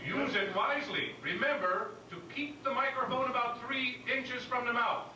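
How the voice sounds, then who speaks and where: A man voicing a pompous 1950s instructional film narrator in slow, solemn, exaggerated diction for a comedy. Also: extremely high pitch, pitched above the performer's own voice. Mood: solemn instructing. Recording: echoey room from far off, noisy.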